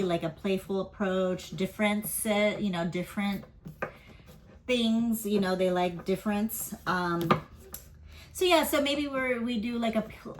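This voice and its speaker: A woman's voice: mid-range voice, slight background noise. A woman giving a casual weekly tarot and astrology reading. She speaks candidly, rambles, leans on fillers and swears offhandedly.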